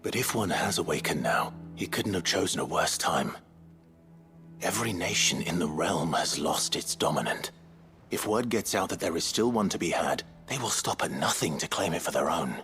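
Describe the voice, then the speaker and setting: A weary young outlaw leader with a very low voice. He speaks with measured, grave understatement, as in a dramatic fantasy game scene, with faint music underneath. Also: animated, fairly bright tone. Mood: earnest. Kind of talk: dramatic video game voice acting.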